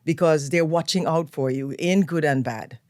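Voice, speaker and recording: An older woman with a Trinidadian accent, a guest telling stories of her upbringing in a relaxed, unhurried way. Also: sits low, no echo, clean studio sound. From Trinidad and Tobago. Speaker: guest, event planner. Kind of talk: relaxed storytelling interview. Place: radio studio.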